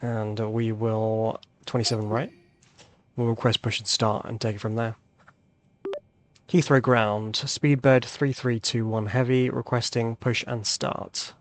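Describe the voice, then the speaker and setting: A man's voice: mid-range voice, level tone, a little slow, a little hiss or hum. A man hosting a flight simulator video, thinking aloud in casual tutorial commentary and reading back radio calls. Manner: thinks aloud while demonstrating.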